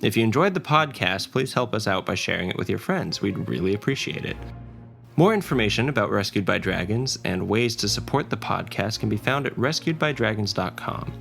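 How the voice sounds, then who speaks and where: Low, creaky-voiced male fantasy narrator. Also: lively intonation, fairly quick, fairly bright tone. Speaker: narrator and dungeon master. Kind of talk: scripted fantasy story narration. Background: faint ambience and music.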